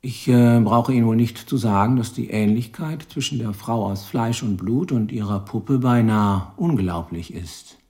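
An actor playing a worldly, probing police lieutenant in a German radio drama, calm and ironic. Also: male voice, medium pitch, a little slow, hardly any pauses, natural voice. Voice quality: gravelly, probing, calmly ironic.

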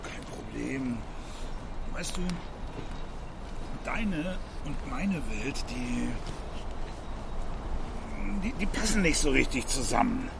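Creaky-voiced, halting homeless man. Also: mid-range voice, sing-song, noisy background, full character voice. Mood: hesitant. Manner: halting, hesitant. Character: homeless man Teddy, gentle, modest, proudly declining help. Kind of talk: German children's radio play acting.

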